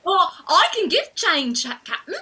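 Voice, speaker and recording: A woman's voice: very high voice, big pitch swings, measured pace, pushed and bright, exaggerated voice. A woman playing a poor, cheeky young flower girl in a staged play reading, whining and protesting in ungrammatical street talk. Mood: wheedling.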